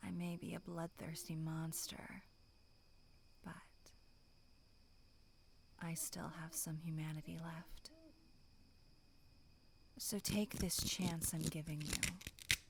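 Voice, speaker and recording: A woman voicing a bloodthirsty female demonic monster in a breathy, menacing whisper for a horror ASMR roleplay, sinister yet tender. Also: low-pitched, flat delivery, fairly bright tone, slight background noise, full character voice. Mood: quietly persuasive. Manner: slow, drawn-out, menacing whispering.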